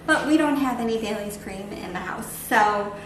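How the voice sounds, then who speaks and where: A woman's voice: medium pitch, normal speed. Woman vlogging in an echoey room. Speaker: home cooking and farm vlog host. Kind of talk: casual vlog chat while demonstrating. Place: indoors at home, kitchen. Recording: echoey room with background noise.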